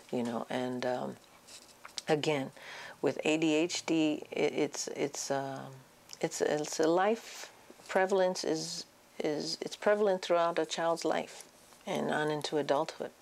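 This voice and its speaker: A woman speaks in an extremely low register with a noticeable fry. She delivers an informal educational lecture as a doctor. Her explanations come haltingly, with frequent pauses as she goes. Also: slight background noise. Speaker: psychiatrist presenting an educational talk.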